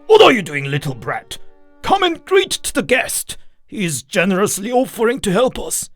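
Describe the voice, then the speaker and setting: A man's voice: high-pitched, huge pitch swings, unhurried, soft background music, voice pushed up, heavily acted. A man voicing a volatile alcoholic father in a dramatized story, raving and fawning. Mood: angry scolding.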